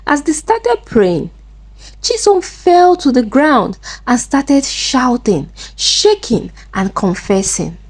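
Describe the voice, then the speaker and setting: A woman narrating a scripted folktale in a deliberate, dramatic way. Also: high-pitched, very animated, husky. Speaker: storyteller.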